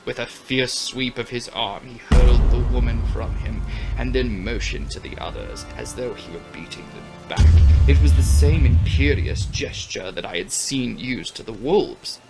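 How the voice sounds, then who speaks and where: A man giving a theatrical, intense and often breathy dramatic reading of gothic fiction as an audiobook narrator. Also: mid-range voice, moderate pace, poor audio. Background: music.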